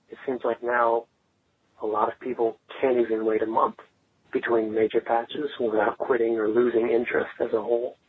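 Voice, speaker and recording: A man's voice: mid-range voice, husky. A man calling in to put a question to a podcast, heard over a phone line. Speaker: caller leaving a question. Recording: muffled, tinny phone line.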